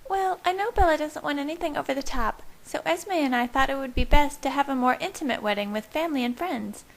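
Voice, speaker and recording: A woman plays an excitable young vampire sister in an audiobook. The voice sits very high. The delivery is brisk and emphatic, with a bossy, bubbly temper. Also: vocal fry. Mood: chirpy. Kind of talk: audiobook character voice.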